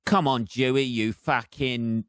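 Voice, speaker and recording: A British man hosts a solo comedy podcast in a clear, smooth voice, recorded dry and close. He rambles casually and sardonically, swearing freely as he rants. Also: medium pitch, steady tone, measured pace, fairly bright tone, no echo. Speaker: podcast host.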